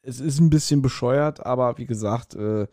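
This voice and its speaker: A man with a mellow, relaxed voice hosts a casual review podcast. He talks colloquially, with a joking, exaggerating streak. The recording is close and dry. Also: mid-range voice, normal speed, dry recording. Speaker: podcast host. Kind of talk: casual chat reviewing an audio drama.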